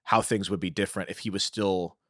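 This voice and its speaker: A man's voice: low-pitched, fairly even intonation, brisk, a bit bright, no room sound, clean studio sound. A man co-hosting a podcast, explaining background details in an informal, conversational way. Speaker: podcast co-host. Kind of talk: casual explanatory podcast chat.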